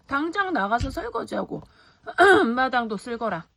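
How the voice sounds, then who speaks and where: A woman voicing a cruel, two-faced stepmother in a storybook, with sharp, spiteful scolding. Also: high-pitched, big pitch swings, unhurried, gravelly, dry recording, exaggerated voice. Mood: angry scolding.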